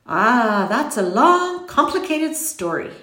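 Storybook role: courteous, whimsical little gentleman played by a woman, pitched up and swooping, genteel exclamations, roomy sound. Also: sing-song, takes it slowly, full character voice. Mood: whimsical, genial. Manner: exclamatory, genteel, formal phrasing. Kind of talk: audiobook character voice.

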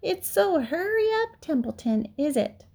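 A sour old storybook rat, played by a woman, sarcastic and self-pitying. He grumbles and mocks in a creaky, drawn-out voice. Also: high-pitched, sing-song, darkish tone, pitched above the performer's own voice, exaggerated voice. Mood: mocking. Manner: growling, mocking, complaining.

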